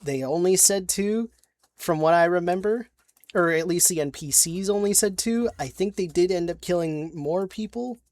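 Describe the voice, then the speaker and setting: A young woman chatting casually about her tabletop game character, halting with many restarts and little giggles. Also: on the low side, darkish tone, dry recording. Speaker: player recounting a tabletop campaign. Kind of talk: casual rambling chat.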